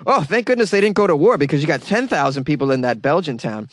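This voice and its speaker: A man's voice: on the high side, expressive, quick pace, dry recording. A man hosting a podcast, giving comedic commentary on a news story in a sarcastic, joking way with casual swearing. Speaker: podcast host.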